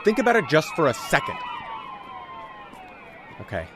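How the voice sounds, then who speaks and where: A man's voice: a little high, huge pitch swings, normal speed, background noise. A man acting in an audio drama as a defensive, confused, bossy military officer. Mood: defensive, insistent.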